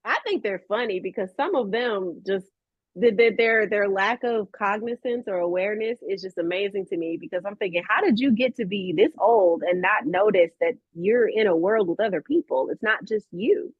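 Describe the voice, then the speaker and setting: A woman's voice: medium pitch, moderate pace, husky, dry recording. A woman talking casually and expressively as an interview guest, a voice actress telling her own stories. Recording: dull, lo-fi audio.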